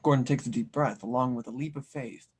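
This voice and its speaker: A man's voice: medium pitch, steady tone, brisk, breathy edge. A man reading scripted narration and stage directions as the narrator of an audio drama table read.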